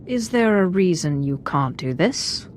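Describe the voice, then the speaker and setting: A woman's voice: fairly low pitch, very animated, a little slow, a little hiss or hum. Cocky female Sith apprentice. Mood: wry. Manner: wry, confident. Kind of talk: video game dramatic acting.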